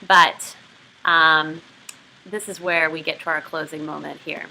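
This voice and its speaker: A woman teaching a writing lesson, explaining and reading passages aloud in an expressive way. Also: deep voice, unhurried, creaky, slight background noise, poor audio. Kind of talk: literary craft lesson with read-aloud passages.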